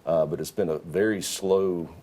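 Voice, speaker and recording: A man with a very deep voice and a dark tone weighs in on a political panel. His analysis is measured and thoughtful, with a husky edge. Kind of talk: panel discussion.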